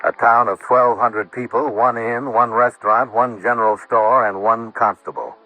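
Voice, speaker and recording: An actor playing a wry, businesslike American insurance investigator in 1950s radio detective style. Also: male voice, mid-range voice, little pitch movement, normal speed, pushed and bright, no room sound. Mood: businesslike narrating. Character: brisk, probing male freelance insurance investigator, wry and businesslike. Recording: muffled old radio, faint music.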